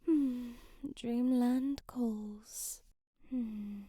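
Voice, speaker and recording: Girl acting a romantic young princess for asmr roleplay, kinda slow n theatrical, storybook talk, very dry close sound. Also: fairly high pitch, steady tone, warm tone, husky, no room sound, exaggerated voice. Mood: puzzled, sleepy. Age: young adult. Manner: theatrical, flowery, prim storybook phrasing, sighing, often half-whispering. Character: sheltered, romantic, dramatic young princess locked in a tower. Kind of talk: ASMR roleplay audio drama, soliloquy.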